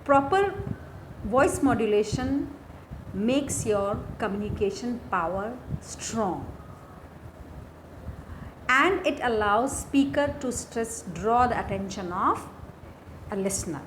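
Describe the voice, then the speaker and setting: A woman with an Indian accent giving a scripted lesson as a lecturer, deliberate and instructional, phrase by phrase. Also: fairly high pitch, lively intonation. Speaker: English lecturer. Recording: faint background noise.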